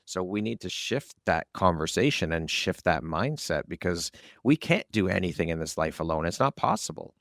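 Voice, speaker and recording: A man's voice: low-pitched, sing-song, normal speed, creaky, a bit bright, no room sound, clean studio sound. A man hosting an interview podcast in a conversational style. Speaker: podcast host.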